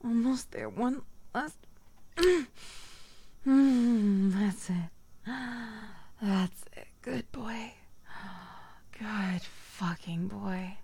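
Whispering woman, slow and husky. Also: a little high. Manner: dominant and teasing, soft whispers between moans. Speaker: audio roleplay performer. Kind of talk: whispered intimate audio roleplay.

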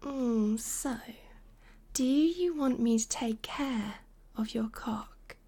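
A woman playing a doting, seductive lover in an intimate adult audio roleplay, breathy and coaxing, often slipping into whispers. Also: a little high, takes it slowly, mellow, heavily acted. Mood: tender, teasing.

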